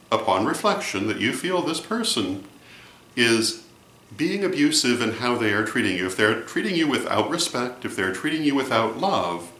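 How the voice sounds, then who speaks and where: A man giving advice in a vlog monologue, speaking in a measured, deliberate way with emphatic phrasing. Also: mid-range voice, sharp tone. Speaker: vlog host and religious advisor. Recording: roomy, echoey sound.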